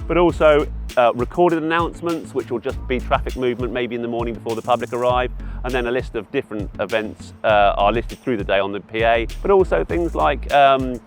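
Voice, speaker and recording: A man's voice: mid-range voice, fairly quick, soft background music. A middle-aged man giving informal, explanatory answers in an interview about his trade. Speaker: event PA hire company representative, interviewee.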